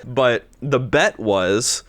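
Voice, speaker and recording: A young man talks through a commentary in a relaxed, chatty way. His voice sits in an ordinary middle range. He is enthusiastic and often repeats a word or two before his sentence gets going. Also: medium pitch, very slow. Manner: enthusiastic, repeats words when starting sentences. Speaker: podcast host and voice actor. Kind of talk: casual commentary chat.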